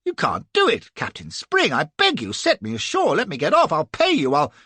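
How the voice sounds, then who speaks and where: A man's voice: a little high, huge pitch swings, normal speed, pushed and bright, breathy edge, dry recording, voice pushed up, heavily acted. A man voicing a cowardly young English officer in an audiobook, frantic, blustering and pleading. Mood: panicked. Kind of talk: audiobook character voice.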